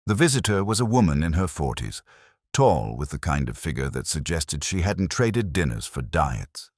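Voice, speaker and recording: A man with an extremely deep voice narrates a scripted mystery. His pitch moves in lively swings as he tells it with dramatic, wry timing. The sound is dry and close. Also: normal speed, no echo. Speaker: narrator and host of a mystery story channel. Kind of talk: scripted narration of a detective story.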